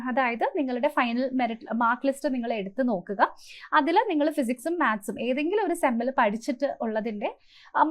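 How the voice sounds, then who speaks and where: A woman giving an instructive coaching presentation to exam aspirants, mixing in many English terms. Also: a little high.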